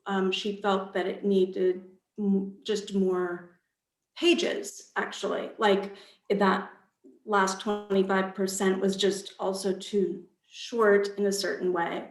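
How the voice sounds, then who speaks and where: A woman speaks at a very slow pace in a clearly echoing space. She answers reflectively as a guest author, pausing thoughtfully in mid-phrase. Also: medium pitch, little pitch movement. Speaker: author on a panel, with theater background. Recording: roomy, echoing sound.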